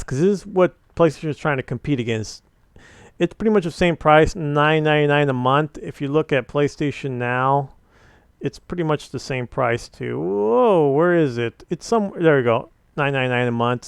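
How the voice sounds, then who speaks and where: Rambling opinionated young man, clear dry voice. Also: a little high, no room sound. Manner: rambling, opinionated, many fillers and restarts. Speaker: gaming channel host. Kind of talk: casual commentary on gaming news.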